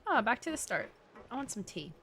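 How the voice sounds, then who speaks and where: A woman's voice: a little high, big pitch swings, brisk, a bit bright, a little hiss or hum. A woman doing casual, playful, reactive live commentary as she streams a game playthrough.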